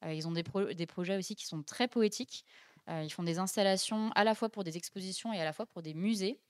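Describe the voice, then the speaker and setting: A young woman casually explaining design exhibitions on a livestream, with many filler pauses and self-corrections. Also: mid-range voice. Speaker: guest presenting design exhibitions.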